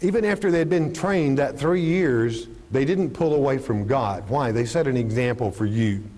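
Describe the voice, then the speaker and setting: A man's voice: mid-range voice, normal speed. An older man preaching on a studio stage, teaching a televised Bible study with a lapel mic, asking himself questions and answering them. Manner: animated, gestures constantly while teaching. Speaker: pastor. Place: studio stage with podium and curtain.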